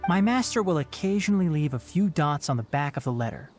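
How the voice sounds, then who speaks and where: Actor as thoughtful young scholar over music. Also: male voice, fairly high pitch, animated, natural voice. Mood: concerned. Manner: measured, polite, articulate. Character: earnest, thoughtful young male scholar and forest watcher, loyal to his master. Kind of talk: scripted video game cutscene acting. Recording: music playing behind the voice.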